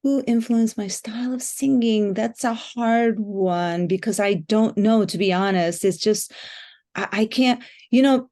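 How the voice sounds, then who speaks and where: A woman's voice: medium pitch, a little slow, mellow. Female interviewee, musician, candid and reflective, conversational. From Japan and the United States. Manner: thoughtful, frank, with many fillers. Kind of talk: relaxed interview answers.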